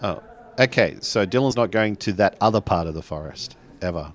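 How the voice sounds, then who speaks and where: Very deep-voiced, rambling man. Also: expressive, normal speed. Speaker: tabletop roleplay player. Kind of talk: casual tabletop roleplay banter.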